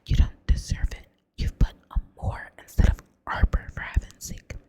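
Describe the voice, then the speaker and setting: A woman with a Southern US accent playing a bold, sharp-tongued teenage girl, voiced entirely in a hushed whisper. The girl comes across quick and defiant, with no full voice behind it. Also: lightly acted.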